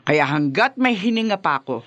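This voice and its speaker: Proud older mother, animated low voice. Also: normal speed, no echo. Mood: devoted. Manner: proud, emphatic. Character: proud, devoted ageing mother and grandmother. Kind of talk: radio drama acting.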